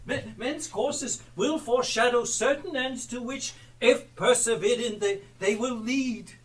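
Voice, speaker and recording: A repentant old miser , played by a man, pleads in a high, frightened voice. The delivery is slow and halting, with words repeated in dread. It is theatrical stage acting, caught at a distance from the microphone. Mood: solemn dread. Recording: distant live stage recording.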